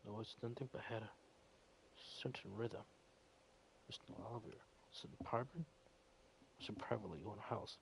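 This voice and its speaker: Gravelly low-voiced man reading aloud. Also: steady tone, moderate pace, pauses often, slight background noise, low-quality recording. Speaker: gaming video narrator. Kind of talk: reading a visual novel aloud.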